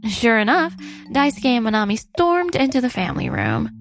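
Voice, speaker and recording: A wronged wife played by a woman narrates a manga revenge drama. Her voice is fairly high with a lot of creak, and her delivery stays composed over background music. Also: huge pitch swings, moderate pace. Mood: composed, storytelling. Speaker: narrator and lead character. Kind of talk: scripted narration for a manga story dub. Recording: music under the voice.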